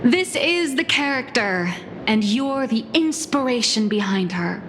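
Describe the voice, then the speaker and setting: A woman playing an earnest, wry comic artist in an anime-style video game, explaining things in a measured, slightly theatrical way. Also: fairly high pitch, expressive, noisy background. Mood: explanatory. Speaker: comic artist presenting her work. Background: music.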